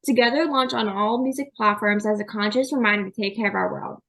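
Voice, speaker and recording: A woman's voice: medium pitch, fast talker, creaky, a bit bright. A young woman hosting a podcast interview, chatty and filling her talk with "like". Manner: chatty, says "like" constantly. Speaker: podcast host. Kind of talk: casual interview chat.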